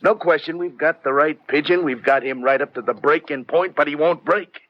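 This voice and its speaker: An actor playing a blunt, proud American police lieutenant in a 1950s radio drama, talking in punchy, clipped slang. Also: male voice, a little high, normal speed, natural voice. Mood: frustrated. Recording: dull vintage radio recording.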